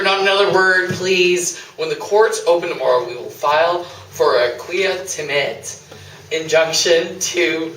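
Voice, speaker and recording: Guy doing a bossy lady lawyer, stiff legalese, sharp forceful voice, stage radio play, echoey distant mic. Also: fairly high pitch, a little hiss or hum. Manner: formal, legalistic, halting. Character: officious, flustered female defence lawyer, formal and bossy. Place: small theatre stage, live performance.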